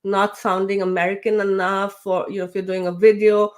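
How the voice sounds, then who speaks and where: A woman speaking as an interview guest, loose and conversational, pausing on fillers and restarting her words. Her voice sits at an ordinary middle pitch. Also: little pitch movement, a little mellow. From grew up with British English. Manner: chatty, many fillers and restarts. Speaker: guest, LinkedIn trainer and coach. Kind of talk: casual interview talk.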